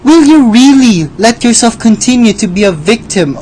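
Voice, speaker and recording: A woman playing Death as a suave, mocking gentleman in a theatrical radio drama, imperious and drawn-out. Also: a little high, animated, slight background noise, natural voice. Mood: commanding.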